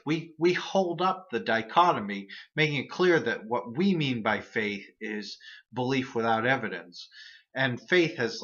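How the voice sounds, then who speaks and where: A man explains everyday reasoning mistakes in a relaxed but earnest monologue, often repeating a word as he restarts a thought. His voice is bright and sits at a middle pitch. The recording is dull and lo-fi. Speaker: host of an atheist video series.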